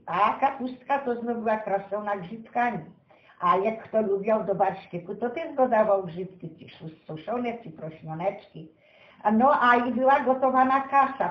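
Elderly woman, high-pitched and unhurried. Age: 70s or older. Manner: folksy, uses many diminutives. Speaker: storyteller recalling village childhood. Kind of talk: unscripted oral storytelling, reminiscence. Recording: roomy, slightly dull sound.

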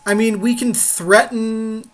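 A man's voice: sits high, steady tone, slow, a bit bright. A man co-hosting casual gameplay commentary in an agreeable, conversational way. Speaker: podcast co-host playing a card game.

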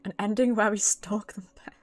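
Female British livestreamer, expressive, sweary and informal, mid-range voice with faint music behind. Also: mid-range voice, moderate pace. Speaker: streamer. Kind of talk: casual livestream chat. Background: background music.

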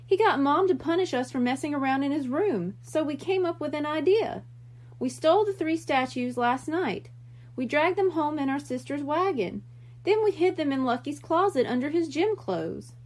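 A woman's voice: sits high, animated, moderate pace, a little mellow, pitched above the performer's own voice. A woman voicing an earnest, worried young boy from a storybook, with an emphatic, pleading manner. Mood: sheepish, explaining.

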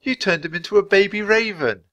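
A man's voice: high voice, a little fast, a bit bright, highs cut off, pitched above the performer's own voice. A man voicing a spirited, eager young girl in a storybook, earnest and bold. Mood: gentle.

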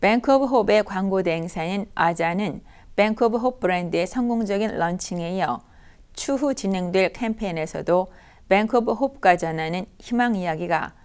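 A woman's voice: medium pitch, a little mellow, smooth. A woman news anchor reading scripted news.

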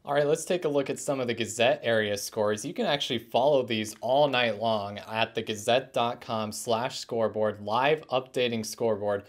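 A man's voice: mid-range voice, normal speed, hardly any pauses. A young man hosting a sports recap, reading out scores in a scripted news style. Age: young adult. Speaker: sports show host. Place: small indoor room, plain wall.

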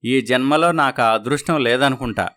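Patient, wistful husband in a moral story, played by a man: resigned, middle-pitched, understated. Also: moderate pace, a bit bright, natural voice.

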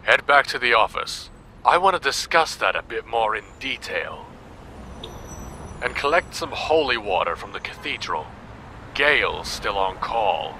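Casting: man to play vulgar bullying boss, piercing forceful delivery, some vehicle noise behind, podcast drama. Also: mid-range voice, big pitch swings, a little slow, heavily acted. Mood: crude, smug. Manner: vulgar, brash, blunt. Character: crude, lecherous, bullying male boss. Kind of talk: audio drama acting.

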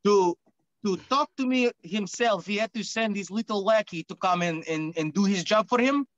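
A man's voice: sits high, moderate pace, a bit bright. A man playing a boastful, domineering masked Cuban wrestler with a put-on Cuban accent, pompous and bossy in comic banter. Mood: scornful. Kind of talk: in-character wrestling promo banter. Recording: dull, echoey room sound.

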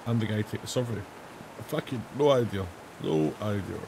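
A man in his thirties, hoarse and raspy after days of singing, hosting a casual gaming video where he reads questions aloud and answers them with jokes. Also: lowish voice, expressive, normal speed, background noise. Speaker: gaming channel host. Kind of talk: casual let's-play commentary. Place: home room, indoors. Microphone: headset.

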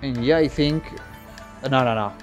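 A young man talks casually as he reacts, at a slow, unhurried pace with halting phrases and repeated words. Music plays behind him as he comments from a room at home. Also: medium pitch, lo-fi sound. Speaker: reaction video host, musician. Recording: game music playing behind. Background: game trailer music.

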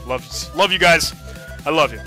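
Bright-voiced young man on headset. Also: fairly high pitch, animated, moderate pace, faint music behind. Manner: chatty, enthusiastic, rambling with restarts. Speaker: tech tutorial host. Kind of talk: casual screen-recorded walkthrough.

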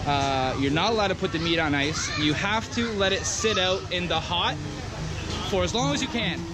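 A man in his thirties chatting casually as a travel vlogger, with playful deadpan jokes, recorded outdoors on a handheld camera. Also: high-pitched, normal speed. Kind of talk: casual vlog chat with joking commentary. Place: busy outdoor street market and streets. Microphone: handheld action camera at arm's length. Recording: loud music over outdoor action-camera sound. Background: music, traffic.

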